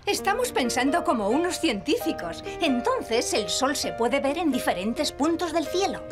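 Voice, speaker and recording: A woman's voice: sits high, moderate pace. A woman with a Castilian Spanish accent playing a cheerful, patient preschool teacher in a children's cartoon dub. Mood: patient, encouraging. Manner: animated, patient, explaining to small children. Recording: music behind the voice.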